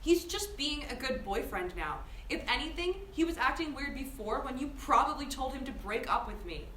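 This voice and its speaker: A woman playing a jealous, combative, self-absorbed high school girl, snappy and indignant, at a table read in an echoey room. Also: lively intonation, normal speed, a bit bright. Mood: angry. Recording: echoing room, faint noise.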